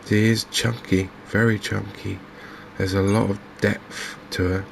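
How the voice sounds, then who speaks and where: A man giving softly spoken, ASMR-style commentary as host of a gaming channel, in a halting, understated way. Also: fairly low pitch, flat delivery, vocal fry, slight background noise.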